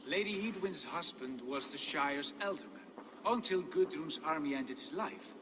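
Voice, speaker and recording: An actor playing a proud, confident Viking chieftain in a dramatic game cutscene, speaking in a grand, declamatory way. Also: male voice, fairly high pitch, animated, moderate pace, lightly acted. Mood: assured. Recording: reverberant, with clear background noise.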